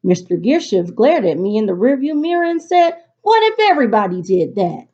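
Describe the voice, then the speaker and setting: A woman teacher reading aloud to students in an expressive, instructive storytelling delivery. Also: on the high side, normal speed. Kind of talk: picture-book read-aloud for a virtual class. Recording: dull, lo-fi recording.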